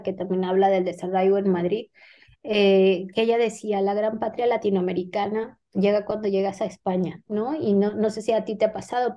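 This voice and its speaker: A woman's voice: medium pitch, warm tone. A middle-aged Mexican woman, an author, answering an interview in an earnest, reflective way, often restarting and adding "¿no?". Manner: earnest, many repeated restarts and "¿no?" tags. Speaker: author guest.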